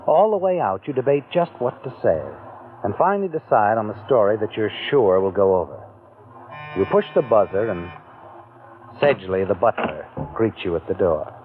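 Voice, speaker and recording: Male narrator, lively insinuating delivery, tinny old radio sound. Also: fairly low pitch, moderate pace, faint music behind. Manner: insinuating, teasing questions to the listener. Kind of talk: second-person radio drama narration.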